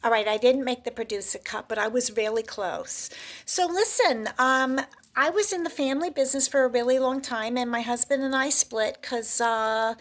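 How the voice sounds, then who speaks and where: Breezy woman pitching herself for a date. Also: normal speed. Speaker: dating contestant. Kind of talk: comic dating video pitch.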